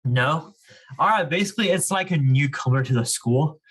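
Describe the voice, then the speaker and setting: A man's voice: fairly high pitch, expressive, normal speed, a bit bright. A young man casually explaining and reading aloud to children as a reading club leader, with an informal way of talking peppered with "like" and "you know".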